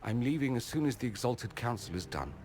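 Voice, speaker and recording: A man's voice: medium pitch, very animated, brisk, over music, lightly acted. A man playing a witty, theatrical mage ambassador in a video game, arch, eloquent and affectionate. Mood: subdued, grieving. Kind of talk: video game cutscene acting.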